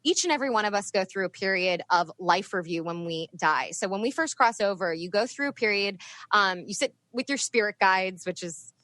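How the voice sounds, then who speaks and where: A woman from the US East Coast, a medium, talking in a chatty, animated way with frequent laughs. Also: medium pitch, fairly quick, no room sound. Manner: chatty, animated, laughs often, many fillers. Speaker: spirit medium guest. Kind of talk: casual podcast interview.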